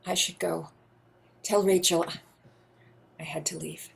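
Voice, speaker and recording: A woman acting in a play reading as a wounded, restrained queen, speaking in a hushed, halting way. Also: on the low side, steady tone, gravelly, husky, heavily acted. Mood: hurt, resigned. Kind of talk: theatrical stage play reading.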